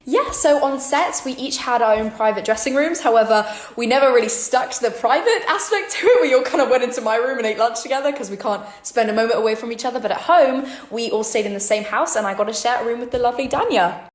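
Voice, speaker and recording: A woman's voice: on the high side, quick pace, roomy sound. A teenage actress with a mostly British accent that sometimes slips American, chatting casually as she answers fan questions at home. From born in New York, raised in London. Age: teens. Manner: chatty, repeats "really, really", light giggles. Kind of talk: casual vlog Q&A. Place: her home kitchen, indoors.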